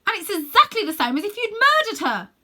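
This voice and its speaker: A woman's voice: very high-pitched, big pitch swings, fast talker, sharp tone, voice pushed up, full character voice. A woman voicing a plucky, indignant young schoolboy in a children's storybook, blunt, accusing and emphatic. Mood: shouting accusation.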